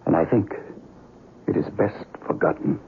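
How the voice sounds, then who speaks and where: A man playing a grave, commanding doctor and exorcist in a radio horror drama, solemn and measured. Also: natural voice. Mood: subdued, grave. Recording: noisy phone-like radio recording.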